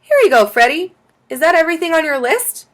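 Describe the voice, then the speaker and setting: Woman voicing a brisk, high-pitched, caring mom. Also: lively intonation, fairly bright tone. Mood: kind. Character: brisk, caring mother of a schoolchild. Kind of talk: children's audiobook character.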